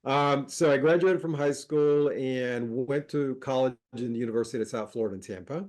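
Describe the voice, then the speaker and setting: A middle-aged man from Colombia talks as a podcast guest in a loose, relaxed way, with plenty of fillers and easy laughter. His voice sits at an ordinary, mid pitch. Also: a little fast. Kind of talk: casual interview chat.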